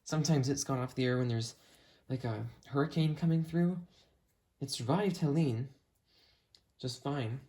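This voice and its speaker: A medium-pitched host presents a gadget in a casual, chatty way, talking while handling it. The home recording is indoors, with some noise and clicks behind the voice. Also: moderate pace, mellow. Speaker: host of a gadget review video. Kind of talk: casual hands-on product review.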